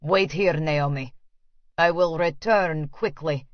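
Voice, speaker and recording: A terse and intense Russian man from an audiobook as a woman narrator acts him out. The voice drops low and moves slowly and gravely, recorded very dry with a slightly dull tone. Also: no room sound. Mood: grave, guarded. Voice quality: deepened voice.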